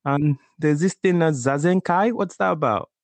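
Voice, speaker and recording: A man's voice: a little high, normal speed, dry recording. A man hosting a podcast in an informal, chatty, conversational way. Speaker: podcast host.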